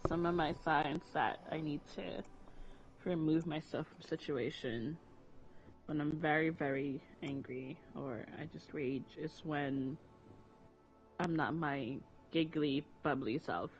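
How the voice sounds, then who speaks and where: A woman speaking frankly in a loose, rambling way, often stumbling and restarting her words. The audio is muffled and lo-fi, and soft music plays underneath. She sounds like a co-host in a relaxed conversation. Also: low voice, slow pace. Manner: candid, rambling with repeated restarts. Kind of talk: casual conversation. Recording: dull lo-fi audio with faint music.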